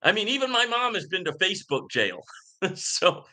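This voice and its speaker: A man's voice: high voice, very animated, normal speed, sharp tone. A man hosting a casual live Q and A, talking in an outspoken, emphatic way and often checking in with "right?". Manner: outspoken, emphatic, repeatedly seeking agreement with "right?". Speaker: livestream host answering viewer comments.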